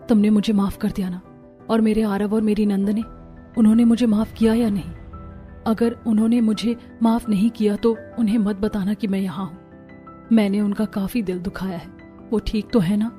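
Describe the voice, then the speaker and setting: A woman playing a gentle, repentant and devoted daughter-in-law in a family cartoon, humble and pleading. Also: mid-range voice, fairly even intonation, moderate pace, pauses often, faint music behind, close to an everyday voice. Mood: tearful remorse.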